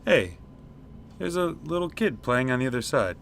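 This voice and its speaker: A man's voice: mid-range voice, sing-song, normal speed, creaky, fairly bright tone, slight background noise. A man playing an exasperated, skeptical young straight man in a comic radio play. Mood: baffled. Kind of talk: comic radio theatre acting.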